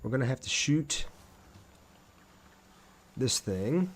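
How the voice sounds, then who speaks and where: A man's voice: mid-range voice, expressive, slow pace, a little hiss or hum. A man live streaming a game, thinking aloud in an informal way and chatting casually with viewers as he plays.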